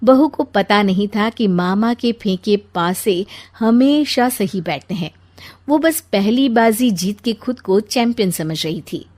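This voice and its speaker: A woman narrating a cartoon family story in a light, lively way. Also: medium pitch, a little slow.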